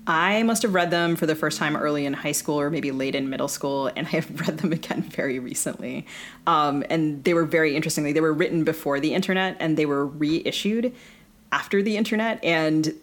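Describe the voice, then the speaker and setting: A woman's voice: low-pitched, a little fast. A woman co-hosting a casual book podcast, speaking analytically in long, wordy thoughts. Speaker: podcast co-host and fiction writer. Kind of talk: casual literary discussion.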